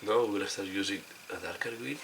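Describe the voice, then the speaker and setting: A man with a non-native accent in English, hoarse from a cold, giving halting hands-on instruction as a tutorial host. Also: lowish voice, fairly bright tone. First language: not English. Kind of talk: instructional commentary while demonstrating. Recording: clearly noisy recording.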